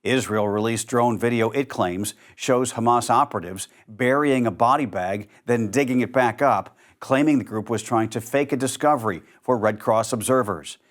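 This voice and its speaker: A man reading scripted news as the anchor, in a measured, even newsreader delivery. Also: medium pitch.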